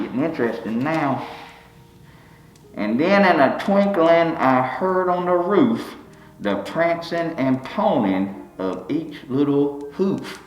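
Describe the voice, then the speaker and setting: A man's voice: medium pitch, a little hiss or hum. A man playing a folksy, bald country fellow who reads a holiday poem aloud, tripping over words and cracking jokes between verses. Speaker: storyteller reading aloud. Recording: roomy, slightly dull recording.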